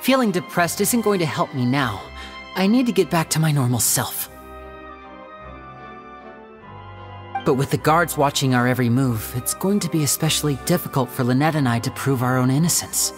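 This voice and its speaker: An actor playing a polite, earnest young male stage magician in a video game, speaking in a courteous, measured and gently theatrical way. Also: a little high, faint music behind, natural voice. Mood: resolved, grateful.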